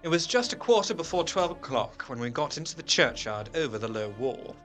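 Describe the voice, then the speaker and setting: A man playing an earnest, haunted Victorian gentleman, narrating a horror drama in a measured, literary and expressive way. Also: mid-range voice. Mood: grave. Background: music.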